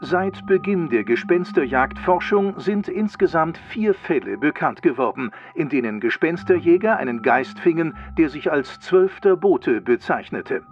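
A man's voice: on the high side, expressive, measured pace, few pauses, highs cut off. An actor playing a solemn, officious male announcer reading alarming ghost bulletins in a children's audio drama. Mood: grave. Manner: formal, officious, grave. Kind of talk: scripted radio bulletin narration in a children's drama.

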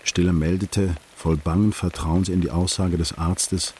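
An actor playing the sober narrator of a German literary radio play, recounting events in a measured, restrained, precise way. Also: male voice, deep voice, flat delivery, darkish tone, slight background noise. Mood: grave, measured. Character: sober male friend recounting a sad night in retrospect.

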